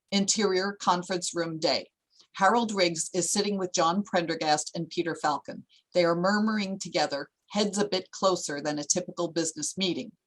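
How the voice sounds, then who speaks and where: A woman's voice: fairly low pitch, poor audio. A woman reading screenplay stage directions as the narrator of a table read.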